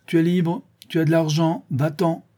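Male narrator as stern storybook avenger, solemn, imperious, lifted pitch, husky edge. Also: little pitch movement, normal speed, warm tone, natural voice.

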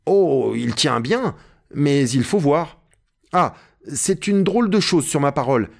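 Man acting naive timid servant, high calling voice. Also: lively intonation, unhurried, gravelly. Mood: naive, puzzled. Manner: calling out, deferential, plaintive. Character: naive, timid, literal-minded male servant up a tree.

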